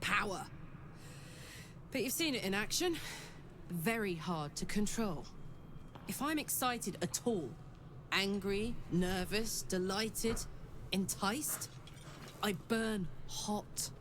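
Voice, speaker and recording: A woman's voice: medium pitch, expressive, takes it slowly, long pauses, background noise. An actress playing a brash, hearty, streetwise warrior woman in a video game, telling things in frank, punchy, colloquial phrases. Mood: earnest, lively. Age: 30s. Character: brash, hearty thirty-year-old female warrior, streetwise and candid.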